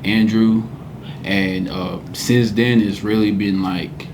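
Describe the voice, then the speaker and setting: Podcast guest: man, 20s, from Cameroon, low voice, earnest and reflective. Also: fairly even intonation, slow pace, background noise. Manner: earnest, reflective storytelling with many "man"s and "you know"s. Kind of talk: casual interview chat.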